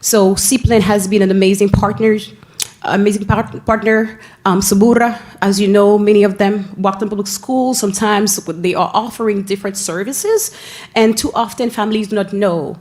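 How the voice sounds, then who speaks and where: A woman from Haiti speaks with a Haitian accent at a school board meeting. Her delivery is earnest and halting, and she pauses often to find the right word. Her pitch sits in the ordinary middle range for a woman. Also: fairly even intonation, darkish tone. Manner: earnest, with frequent pauses and restarts. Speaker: school family engagement staff member.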